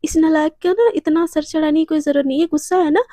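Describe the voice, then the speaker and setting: Woman playing a sharp-tongued protective mother, high voice, mellow tone, dry close sound. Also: fairly even intonation, quick pace, no echo, close to an everyday voice. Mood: fussing. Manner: stern, sarcastic scolding. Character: scolding protective middle-aged Indian mother.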